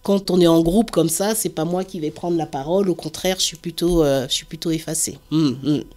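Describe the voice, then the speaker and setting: Woman, from the Antilles, reserved, hesitant, repeats "voilà", lowish voice. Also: a little fast, darkish tone. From French Antilles. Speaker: interviewee. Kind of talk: casual conversation.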